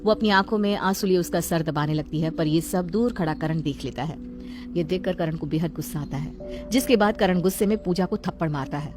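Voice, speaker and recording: A woman's voice: on the low side, fairly quick, over music. A woman storyteller reading a scripted moral tale as narrator.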